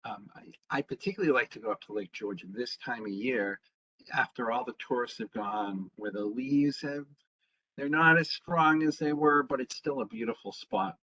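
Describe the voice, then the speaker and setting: A man, a town historian, giving an informal public history talk, pausing often with ums and uhs. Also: mid-range voice, sharp tone. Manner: halting, fills pauses with frequent ums. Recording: dull lo-fi sound.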